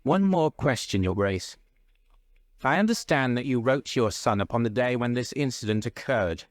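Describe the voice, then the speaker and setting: A composed gentleman detective voiced by a man in a storybook reading. He is polite, precise and bland, with an average male pitch and a dry sound. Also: animated, normal speed, no room sound, lightly acted. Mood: probing.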